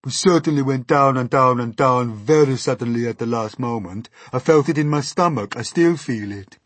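A man's voice: medium pitch, moderate pace, no echo, pitched above the performer's own voice, lightly acted. A man voicing a gloomy, self-pitying earthworm who grumbles and complains in a storybook reading.

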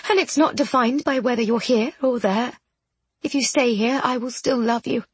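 Woman playing a tender, husky, grieving young lady. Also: fairly high pitch, normal speed, no room sound, voice pushed up. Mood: tender, resolute. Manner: hushed, hoarse, emotional. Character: tender, grieving young woman consoling her best friend. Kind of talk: audiobook character dialogue.

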